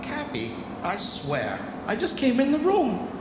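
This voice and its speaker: An actor playing a practical, reassuring junior lighthouse keeper in a radio drama, expressive and plain-spoken. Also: male voice, very high voice, a little slow, exaggerated voice. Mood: startled. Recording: echoey, noisy cassette of radio drama.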